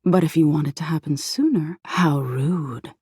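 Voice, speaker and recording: A woman playing a sinister living nightmare in a video game, teasing and seductively menacing, with a breathy, husky voice that slips into whispers. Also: deep voice, darkish tone, dry recording, studio quality. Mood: teasing, amused. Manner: slow, drawn-out, playful menace, slipping into breathy whispers. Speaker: video game character voice actor. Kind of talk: theatrical video game voice acting.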